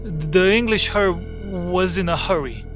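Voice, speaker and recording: An actor playing a nervous, deferential peasant coach driver in an audio drama, speaking haltingly and formally. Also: male voice, high-pitched, a bit bright, soft background music.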